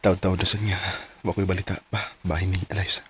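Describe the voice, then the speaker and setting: A man's voice: low voice, flat delivery, quick pace, a little hiss or hum. A young man voicing a hesitant, regretful lover who narrates his own story in a radio drama. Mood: wistful. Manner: soft, hesitant, reflective. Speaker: narrator and lead.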